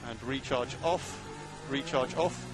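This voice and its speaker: A man working as a race engineer, giving terse, measured instructions to a driver over team radio and repeating key calls. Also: medium pitch, over music.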